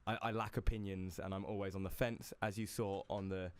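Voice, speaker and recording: A man's voice: deep voice, fairly even intonation, a little fast, smooth. A young British man co-hosting a casual podcast, chatty and easygoing, often laughing. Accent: British English. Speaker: podcast co-host. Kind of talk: casual chat.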